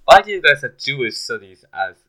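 A man's voice: medium pitch, sing-song, a little fast, bright, forceful tone, rough recording. A man interviewing casually, cutting in with short, curious questions. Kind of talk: casual video chat.

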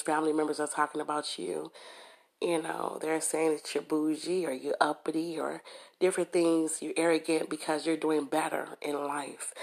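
A hoarse woman hosting a motivational podcast, talking to listeners in a conversational way and often checking in with "okay?" and "you know". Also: low-pitched, darkish tone. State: hoarse from a cold. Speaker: podcast host. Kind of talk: motivational monologue.

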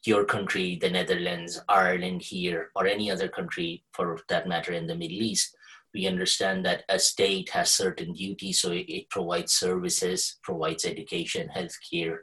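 A man's voice: low voice, level tone, normal speed, pushed and bright, rough recording. A man from Kuwait speaking as a podcast guest, explaining in a discursive, conversational way. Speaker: podcast guest, researcher with a journalism degree.

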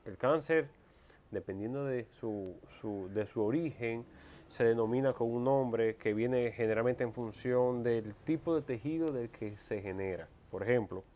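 A man's voice: mid-range voice, measured pace, mellow, muffled recording. A man in his thirties with a Dominican accent, speaking as an interview guest in an explanatory, conversational way. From Dominican Republic.

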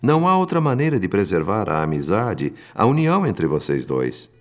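An actor playing a solemn, compassionate spirit mentor, narrating a radio drama in formal, measured phrases. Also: male voice, on the low side, wildly swooping pitch. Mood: reassuring, advising. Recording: long reverb, music underneath.

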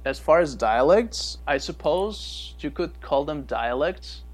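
A man from Kyrgyzstan, a native Kyrgyz speaker, co-hosting a podcast and explaining things at length with thoughtful pauses. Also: on the high side, expressive. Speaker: podcast co-host. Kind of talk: casual chat.

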